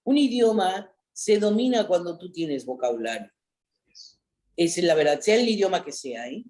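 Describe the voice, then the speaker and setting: A middle-aged woman with a Mexican accent, an actress chatting as an interview guest, telling stories in an animated way and often adding a questioning "¿no?". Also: fairly low pitch, normal speed. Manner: animated storyteller, frequent "¿no?" tags. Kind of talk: casual interview chat.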